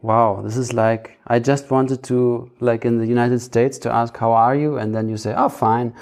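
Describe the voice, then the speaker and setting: A man's voice: mid-range voice, steady tone, moderate pace, a little mellow. A man with a German accent hosting a podcast in a casual, conversational way, searching for his words as he goes. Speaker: podcast host.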